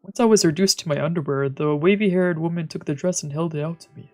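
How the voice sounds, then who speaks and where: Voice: medium pitch, fast. A streamer reading visual novel narration aloud, with casual asides, while music plays behind. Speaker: streamer, let's player.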